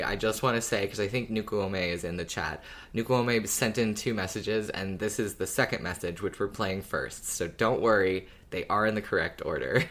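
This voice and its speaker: Expressive, emphatic podcast host. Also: sits low. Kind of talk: casual fan podcast chat.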